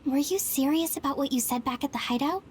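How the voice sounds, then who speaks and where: A woman playing an expressive, questioning young female soldier in a video game. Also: high voice, fast talker, slight background noise, natural voice. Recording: music behind the voice.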